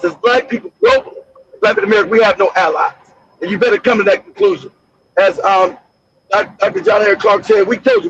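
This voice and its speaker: A man's voice: high-pitched, dull audio, a little hiss or hum. A man hosting a livestream, delivering angry, blunt, profane political commentary. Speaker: livestream host.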